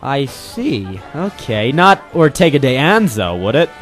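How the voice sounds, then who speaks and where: A man's voice: mid-range voice, sing-song, a little slow, soft background music. A man playing a persistent, wry ex-Marine private eye in an old-style detective radio drama, hardboiled and informal, narrating and talking in character. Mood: coaxing, wry. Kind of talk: 1940s detective radio drama, first-person narration and dialogue.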